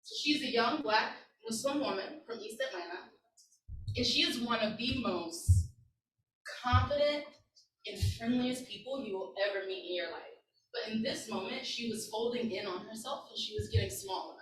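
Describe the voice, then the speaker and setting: Woman, 30s, workshop presenter; earnest storytelling, bright tone, distant mic. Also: fairly high pitch, animated, normal speed, slight background noise. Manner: earnest, conversational, checks in with the audience. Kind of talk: personal storytelling talk. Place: conference room with an audience. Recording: echoey room, distant mic.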